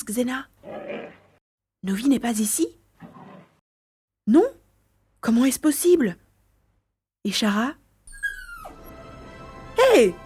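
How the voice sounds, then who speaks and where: A woman's voice: fairly high pitch, darkish tone, echoey room, natural voice. A woman playing a determined young boy hero in an audio drama, thinking aloud as he acts. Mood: alarmed. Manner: thinks aloud, narrating own actions.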